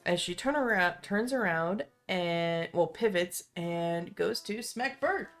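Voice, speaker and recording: A woman's voice: fairly low pitch, animated, slow pace. A woman acting as game master, narrating a tabletop roleplaying game. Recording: music under the voice. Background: instrumental music.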